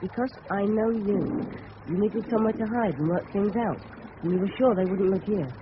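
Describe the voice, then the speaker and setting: High-voiced, earnest English schoolboy played by an actor. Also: male voice, moderate pace, close to an everyday voice. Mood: earnest, pleading. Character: earnest, plucky teenage schoolboy in 1930s English suburbia. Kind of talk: radio drama acting. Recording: muffled, very noisy old radio recording.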